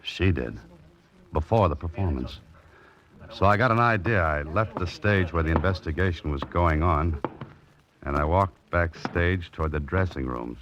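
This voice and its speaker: Very deep, laconic American detective. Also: male voice, normal speed, fairly bright tone. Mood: pensive. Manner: clipped, laconic, understated. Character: cool, wry amateur detective narrating his mystery. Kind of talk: 1940s radio drama narration and acting. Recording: dull old radio recording.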